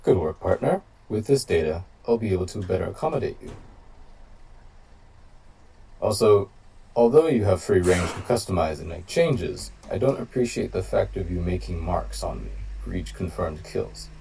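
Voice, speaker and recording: Man voicing a deep, gravelly giant combat robot. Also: expressive, warm tone, distant mic, a little hiss or hum, poor audio. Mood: measured, mildly reproachful. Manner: formal, measured, literal-minded. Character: loyal, formal giant combat robot AI, protective partner. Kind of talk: scripted audio roleplay addressed to the listener.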